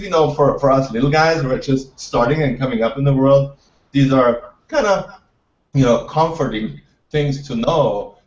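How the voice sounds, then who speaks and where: Man, distant and roomy. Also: on the low side. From Austria. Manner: enthusiastic, many repeated words and restarts. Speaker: entrepreneur guest. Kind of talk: interview answers. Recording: dull, roomy, distant from the mic.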